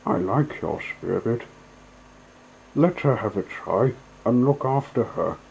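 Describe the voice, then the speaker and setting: A man's voice: medium pitch, expressive, slow pace, creaky, darkish tone, a little hiss or hum, poor audio. A man voicing a genteel male motorist in a storybook, exclamatory and condescending. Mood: jovial, approving. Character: genteel male motorist, jovially patronising towards a washerwoman. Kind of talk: storybook character voice.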